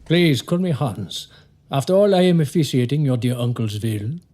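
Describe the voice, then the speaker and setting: A man playing a courteous German lawyer in an audio drama, with a German accent and formal, sometimes halting phrasing. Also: medium pitch, lively intonation, warm tone, lightly acted. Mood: formal, welcoming. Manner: formal, halting word searches.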